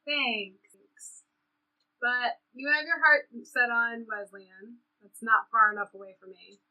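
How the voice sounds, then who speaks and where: A woman's voice: on the high side, takes it slowly, sharp tone, exaggerated voice, low-quality recording. A woman playing a frustrated, sarcastic seventeen-year-old girl in an audio play, speaking emphatically and conversationally. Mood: earnest. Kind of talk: audio play reading, conversational teen dialogue.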